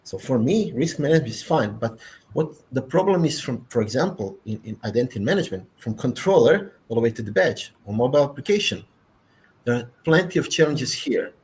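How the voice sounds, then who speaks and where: A man's voice: mid-range voice, expressive, brisk, a little mellow. A man with a Croatian accent, a native Croatian speaker, speaking as a panelist in a technical discussion, emphatic and often ending points with "yeah?".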